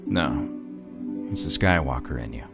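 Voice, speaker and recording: Man playing a low-voiced, wry rogue. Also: animated, unhurried, exaggerated voice. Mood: casual, reassuring. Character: casual roguish man, teasing yet reassuring lover. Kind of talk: audio drama acting. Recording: loud music over the voice.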